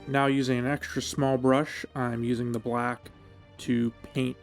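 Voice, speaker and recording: A man with a mid-pitched voice narrates a hobby tutorial. He explains each step in a measured, instructional way. Music plays behind his voice-over. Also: flat delivery. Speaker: hobby painting tutorial host. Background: background music.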